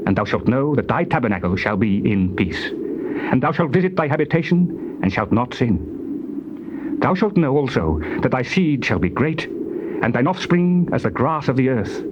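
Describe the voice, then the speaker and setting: An actor playing a solemn, admonishing friend in a dramatized Bible reading, theatrical and measured in delivery. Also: male voice, mid-range voice, sing-song, natural voice. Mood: exhorting. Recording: muffled, noisy old cassette tape.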